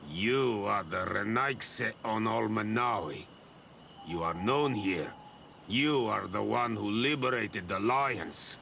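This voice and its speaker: A man playing a gruff, distrustful guard of a fantasy clan in a video game. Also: on the low side, takes it slowly, pushed and bright, a little hiss or hum, exaggerated voice. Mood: stern, suspicious.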